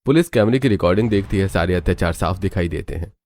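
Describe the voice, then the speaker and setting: A man's voice: deep voice, fast talker, a little mellow. A man reading scripted, dramatic narration for a cartoon story.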